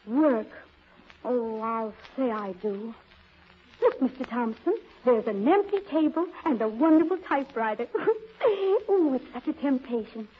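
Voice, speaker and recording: On a thin, tinny old radio recording, a poor young woman hunting for a job. Her voice is high, earnest and pleading, with plucky eagerness in a theatrical 1930s manner. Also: a little slow, husky. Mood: eager, brisk. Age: young adult. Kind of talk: 1930s radio drama acting.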